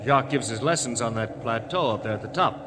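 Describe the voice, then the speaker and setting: An actor playing a polite, talkative, affable but slightly evasive gentleman in a 1940s radio drama, given to careful explanation. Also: medium pitch, fast, close to an everyday voice. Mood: affable, reassuring. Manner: polite, explanatory, hesitant when questioned. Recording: noisy vintage radio recording.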